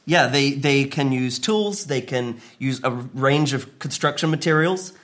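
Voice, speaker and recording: A man's voice: mid-range voice, unhurried, fairly bright tone. A man improvising a mock-earnest, hesitant argument as a comedy panellist. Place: live studio with audience.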